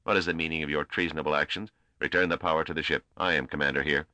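A man's voice: a bass voice, fairly even intonation, racing, sharp tone, no room sound. A man voicing an arrogant, hot-headed prince and admiral in a sci-fi audiobook, imperious, indignant and blustering. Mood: imperious anger. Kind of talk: dramatic audiobook character voice.

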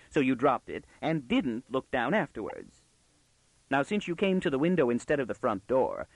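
A man's voice: mid-range voice, no room sound, low-quality recording. A man voicing a precocious, self-assured young girl in a storybook, prim, bossy and easily indignant.

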